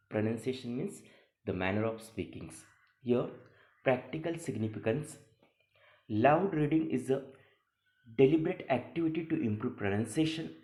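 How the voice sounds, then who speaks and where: A man's voice: mid-range voice, darkish tone. A man giving an instructional English lesson, explaining didactically and restating key phrases as a teacher. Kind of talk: instructional lecture, reading and explaining an assignment. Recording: echoey room sound.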